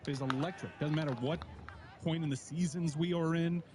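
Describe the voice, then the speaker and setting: A man's voice: on the high side, steady tone, a little fast, darkish tone, a little hiss or hum. A man who is a regular on a sports talk show, bantering with enthusiasm. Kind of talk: studio talk show chat.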